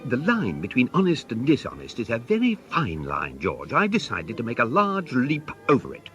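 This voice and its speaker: A man playing a middle-aged English gentleman turned schemer in a comic radio play, theatrical and persuasive. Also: medium pitch, huge pitch swings, moderate pace, muffled recording, soft background music. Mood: exhilarated.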